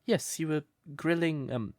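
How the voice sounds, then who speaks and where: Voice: mid-range voice, measured pace, darkish tone, no echo, clean studio sound, natural voice. A performer voicing a shy, smitten crow turned human in an intimate comfort roleplay, hesitant and stammering, naively earnest. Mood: flustered, shy.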